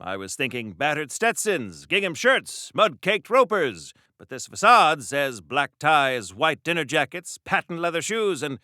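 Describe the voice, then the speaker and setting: Self-important 1940s American comic-book hero, bright forceful delivery, theatrical old-fashioned phrasing, close dry studio sound, video game character. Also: male voice, on the high side, big pitch swings, measured pace, few pauses, no room sound, heavily acted. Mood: affronted, prim. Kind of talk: campy 1940s radio-serial hero acting.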